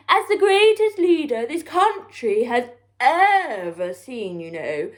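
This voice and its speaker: Pompous male politician, self-important bore, prattling, lively rise and fall, storybook character done by a woman. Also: high voice, takes it slowly, heavily acted. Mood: self-satisfied.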